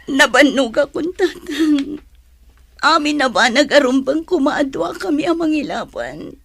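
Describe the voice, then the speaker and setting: Woman acting hurt long-suffering mom in a radio drama, high creaky voice, plaintive, slow and sighing. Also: expressive, a bit bright, full character voice. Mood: tearful, anguished. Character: long-suffering young mother, betrayed by her partner.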